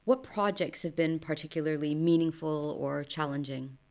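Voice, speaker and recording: A woman's voice: low-pitched, fairly even intonation, moderate pace, darkish tone. A woman hosting an interview, responding and asking questions in a conversational style. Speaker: podcast interview host.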